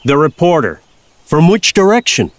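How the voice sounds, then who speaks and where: A man's voice: fairly high pitch, big pitch swings, normal speed, no echo. An actor playing an older Kansas farmer father, plainspoken, folksy and patiently wry, in an audio drama.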